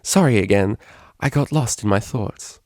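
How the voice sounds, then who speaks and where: A man's voice: fairly low pitch, normal speed, darkish tone, no room sound, studio quality, close to an everyday voice. A man voicing a refined, anxious young female pony cellist in a story, proper and hesitant in manner. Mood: flustered, apologetic.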